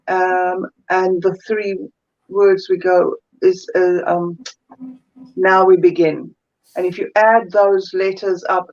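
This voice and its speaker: A woman with a South African accent, a guest energy healer chatting thoughtfully in an interview and often pausing to find her words. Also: on the low side, darkish tone, lo-fi sound. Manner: thoughtful, searches for words, many fillers. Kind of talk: casual interview chat.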